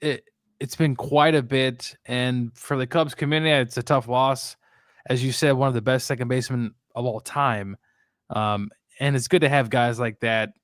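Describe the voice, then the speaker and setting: A man co-hosting a casual sports chat, talking in a reflective, halting, conversational way. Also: mid-range voice, steady tone, no echo, studio quality. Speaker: sports show co-host.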